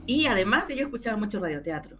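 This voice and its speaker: A woman's voice: medium pitch, sing-song, fast talker, music in the background. A middle-aged woman with a Chilean accent from the O'Higgins region, reminiscing casually as an interview guest, often repeating her words as she tells stories.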